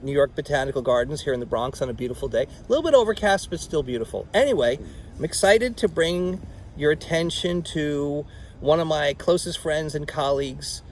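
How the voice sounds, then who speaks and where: Lively rambling male host outdoors. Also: a little high, a little fast, slight background noise. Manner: animated, rambling. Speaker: show host. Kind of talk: conversational interview. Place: outdoor botanical garden.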